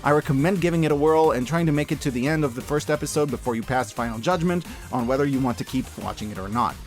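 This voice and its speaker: A man's voice: mid-range voice, fast talker, soft background music. A man hosting a scripted media review, speaking casually and emphatically with enthusiasm and salty language. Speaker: host of a media review channel.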